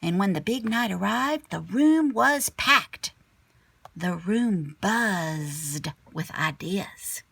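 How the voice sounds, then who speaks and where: A woman teacher reading a children's picture book aloud expressively, sometimes dropping to a hushed tone. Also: medium pitch, takes it slowly, gravelly, husky, dry recording. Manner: animated storyteller, drops to hushed whispery tones.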